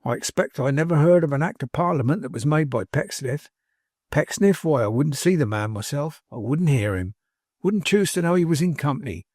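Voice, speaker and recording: A man's voice: on the high side, animated, fairly quick, a little mellow, dry recording, studio quality. A man voicing a cheery, loyal young working-class manservant in a storybook, with bold, insistent, colloquial and ungrammatical speech. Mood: defiant, impassioned. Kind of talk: dramatised audiobook character dialogue.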